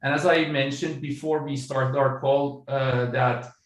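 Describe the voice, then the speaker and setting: A middle-aged man from Iran, an author and business coach, answering interview questions over a video call in a measured, explanatory way with many fillers. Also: medium pitch, level tone, reverberant, far from the mic, poor audio.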